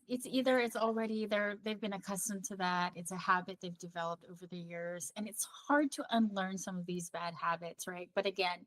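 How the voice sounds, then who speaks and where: A woman's voice: mid-range voice, dry recording, poor audio. A woman raised in the Philippines, with Pangasinan as her first language, co-hosting a radio chat show and giving thoughtful advice. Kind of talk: casual chat.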